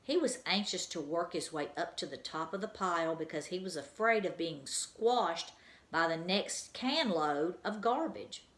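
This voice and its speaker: Low-voiced woman reading a storybook. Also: measured pace, echoey room. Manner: expressive, storytelling. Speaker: teacher reading aloud. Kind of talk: children's book read-aloud.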